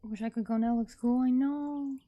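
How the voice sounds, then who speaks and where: A woman's voice: high voice, steady tone, normal speed, phone-quality sound. A woman chatting casually to her livestream viewers in a rambling, repetitive way. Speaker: streamer, artist and ASMR creator.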